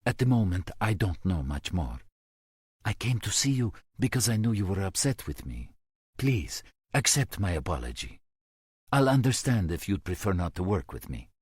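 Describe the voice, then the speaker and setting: A man's voice: sits low, sing-song, normal speed, breathy edge, no echo, studio quality, heavily acted. A man voicing an anxious middle-aged priest who speaks earnestly and apologetically. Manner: earnest, pleading.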